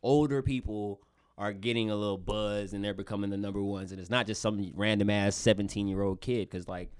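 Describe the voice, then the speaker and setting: A man's voice: fairly low pitch, fairly quick, clear, resonant tone, no echo. A man in his twenties co-hosting a podcast, chatting casually, swearing often and laughing a lot in a small studio. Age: 20s. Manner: animated, profane, laughs a lot. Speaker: podcast co-host. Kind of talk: casual chat. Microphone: studio microphone.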